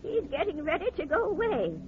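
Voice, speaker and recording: Shrill demented old crone. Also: female voice, very animated, brisk. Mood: eerie, guarded. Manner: cackling, eerie, muttering repetitively. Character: hideous toothless demented old woman housekeeper. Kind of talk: old-time radio horror acting. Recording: muffled, hissy old radio recording.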